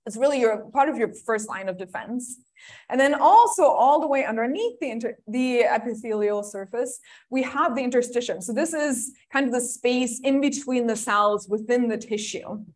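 A woman's voice: on the high side, normal speed. A woman from Germany giving a friendly, chatty academic seminar talk with many ums. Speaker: guest lecturer.

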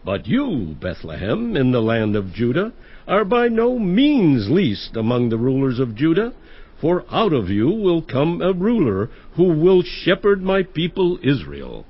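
An actor playing a solemn priestly scholar reciting prophecy in a dramatized Bible, declaiming with drawn-out phrases. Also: male voice, on the high side, wildly swooping pitch, husky, exaggerated voice. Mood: declamatory. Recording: dull, muffled audio.

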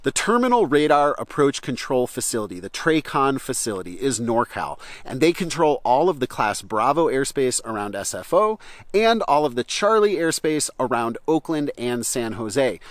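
A man's voice: mid-range voice, no echo. A middle-aged American man, a flight instructor, explaining things casually to camera in a conversational way and checking in with his listeners. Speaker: flight instructor and channel host.

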